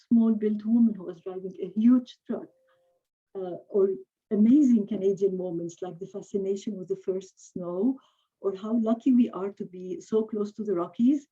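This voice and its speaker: A woman's voice: mid-range voice, moderate pace, warm tone, breathy edge, dull audio. A woman co-hosting an online event, announcing a raffle in a friendly way with a few fillers. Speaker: co-host running a raffle. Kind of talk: event hosting, partly scripted.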